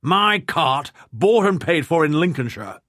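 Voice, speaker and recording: A man's voice: a little high, sing-song, measured pace, fairly bright tone, dry recording, clean studio sound, voice pushed up. A man reading a story, putting on a haughty, indignant old lord who stammers at times. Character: blustering pompous old English lord.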